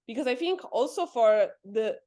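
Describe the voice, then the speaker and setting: A woman's voice: on the high side, expressive, normal speed, warm tone. Hesitant young woman in a science interview. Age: 20s. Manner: thoughtful, hesitant, many fillers. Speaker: guest astrophysics PhD student. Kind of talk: casual interview chat.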